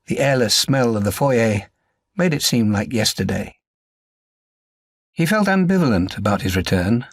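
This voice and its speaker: A man narrates a crime novel in a measured, articulate style. His voice sits at an average male pitch. The sound is very dry, as in audiobook recording. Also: no echo.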